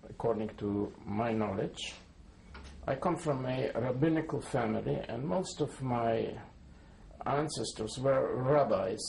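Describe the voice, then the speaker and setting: A man's voice: mid-range voice, creaky, darkish tone, a little hiss or hum, low-quality recording. A man in his seventies, born in Poland, giving a careful oral history testimony and spelling names letter by letter. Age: 70s. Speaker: interviewee.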